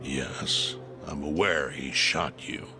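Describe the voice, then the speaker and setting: A very deep-voiced grave informant. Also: male voice, fairly even intonation, pushed and bright, soft background music, exaggerated voice. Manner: measured, explanatory.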